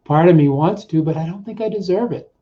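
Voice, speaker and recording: A man working as a coach, leading a guided self-help exercise in short, deliberate, repeated phrases with pauses between them. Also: fairly high pitch. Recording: dull, muffled lo-fi audio.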